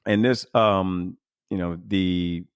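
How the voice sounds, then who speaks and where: A man with a fairly low voice hosts a podcast, rambling through his thoughts with plenty of fillers and false starts. The sound is dry and close, with no room around it. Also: fairly even intonation, slow pace, smooth, no room sound. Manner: rambling, many fillers and restarts. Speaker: podcast host. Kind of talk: casual podcast chat.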